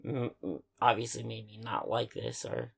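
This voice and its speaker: A man co-hosting a podcast in casual film discussion, speaking in loose, halting, unfussy phrases. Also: fairly low pitch, gravelly, husky. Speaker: co-host of a horror film review podcast.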